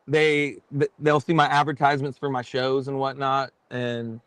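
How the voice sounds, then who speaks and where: A man's voice: mid-range voice, flat delivery, normal speed, a bit bright, smooth, dry recording. A middle-aged man from Texas, chatting casually as a guest musician and telling rambling, self-deprecating stories. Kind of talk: casual podcast chat.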